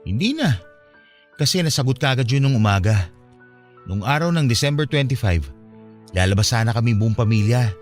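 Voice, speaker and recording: A man's voice: medium pitch, expressive, normal speed, pauses often, close to an everyday voice. A man acting an earnest young man who confides a painful family past in a conversational radio drama style. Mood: confiding, troubled. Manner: conversational, confessional. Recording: music behind the voice.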